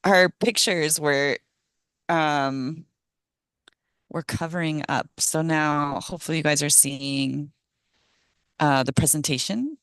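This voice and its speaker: A middle-aged woman, hoarse from a cold, giving a casual presentation on a video call with many ums and small laughs. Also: low voice, slow pace, long pauses, fairly bright tone, no echo, clean studio sound. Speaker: guest speaker, ecologist. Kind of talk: online meeting presentation.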